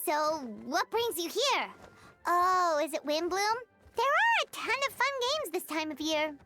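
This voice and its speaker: A woman voicing a small, excitable, curious fairy companion in a video game, with a squeaky childlike voice and exclamatory manner. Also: measured pace, over loud music, full character voice. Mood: curious, chatty. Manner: exclamatory, talks of self in third person.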